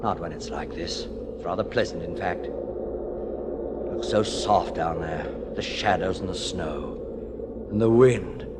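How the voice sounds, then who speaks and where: Radio-play lead, male, fifties: fatalistic widower, low voice, dramatic musing, very noisy vintage broadcast. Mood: resigned, dreamy. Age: 50s. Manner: brooding, dramatic, haltingly musing. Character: weary widowed man in his fifties, brooding, fatalistic, stubborn. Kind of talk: 1940s radio drama acting, interior monologue. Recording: noisy, hissy 1940s radio broadcast recording.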